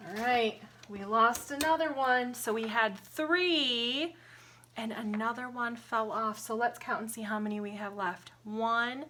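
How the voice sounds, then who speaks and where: Bright, slow-paced woman reading to children. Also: fairly high pitch, a little hiss or hum. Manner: sing-song, expressive, simple phrasing with questions to young listeners. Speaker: teacher reading a picture book aloud to young children. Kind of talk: interactive children's storybook read-aloud with counting and singing.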